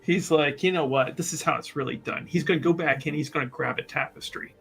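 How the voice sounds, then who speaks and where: A man's voice: a little high, brisk, vocal fry, very noisy. A man playing a tabletop roleplaying game, chatting casually and playfully about what his character does. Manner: jokey, laughs often. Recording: music playing behind. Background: background music.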